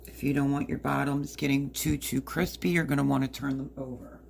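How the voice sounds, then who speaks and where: A woman with a hoarse voice casually explaining a recipe step by step in her home kitchen. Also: rumbling low, flat delivery, a little fast, a little mellow, a little hiss or hum. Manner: chatty, explains step by step while working. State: hoarse, partly lost voice. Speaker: home cook. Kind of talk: casual cooking demonstration.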